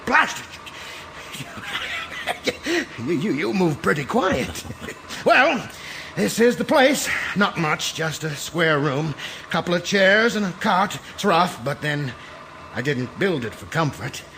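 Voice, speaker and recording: A man's voice: fairly high pitch, very animated, slow, creaky, long pauses, pushed and bright, slight background noise, exaggerated voice, rough recording. A man playing a friendly old rogue trader in a space opera audio drama, folksy, rambling and given to nervous chuckles. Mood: jovial, flustered. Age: older.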